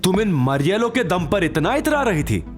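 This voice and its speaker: A man playing a cocky, calm and menacing fighter in an audio drama, with a taunting, smug manner. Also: high voice, normal speed, soft background music, pitched above the performer's own voice. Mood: sarcastic menace. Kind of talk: Hindi audio drama acting.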